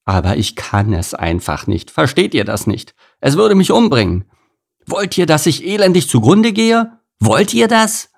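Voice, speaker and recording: A man's voice: fairly high pitch, sing-song, normal speed, vocal fry, pitched above the performer's own voice, heavily acted. A man voicing a melodramatic, indignant female cow who whines and complains shrilly in a storybook. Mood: desperate. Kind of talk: storybook character voice.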